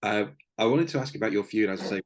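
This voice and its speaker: A man's voice: lowish voice, fast. A man hosting an interview, asking long, hesitant questions full of fillers. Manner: hesitant, many fillers and restarts. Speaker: interview host.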